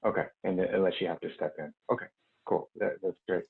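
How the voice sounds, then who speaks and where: A man's voice: deep voice, steady tone, vocal fry. Guy calling in with coaching questions, kinda hesitant, lots of restarts, tinny phone sound. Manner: hesitant, restarts often, quick acknowledgments. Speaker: caller, youth basketball coach. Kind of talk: asking questions on a call. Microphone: remote call.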